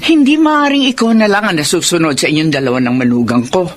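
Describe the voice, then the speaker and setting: A woman's voice: on the low side, expressive, fast, a little hiss or hum, close to an everyday voice. A woman playing a worried older mother who nags and scolds her grown daughter in a radio drama. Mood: scolding. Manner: nagging, exclamatory. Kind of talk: Filipino radio drama acting.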